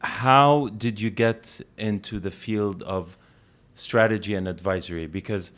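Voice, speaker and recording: A man's voice: on the low side, unhurried. Friendly podcast host, man. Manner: friendly, warm, chatty with many restarts. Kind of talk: casual podcast conversation.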